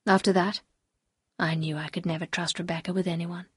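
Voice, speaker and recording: A woman voicing a bitter, anguished upper-class husband in an audiobook, speaking gravely and confessionally. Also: fairly low pitch, flat delivery, brisk, no echo, studio quality, pitched below the performer's own voice. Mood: grave confession. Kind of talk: audiobook character dialogue.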